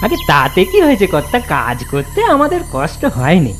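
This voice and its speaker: Animated, humble village labourer. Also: male voice, on the high side, fairly quick, music in the background. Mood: eager, deferential. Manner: deferential, repetitive. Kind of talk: cartoon voice acting.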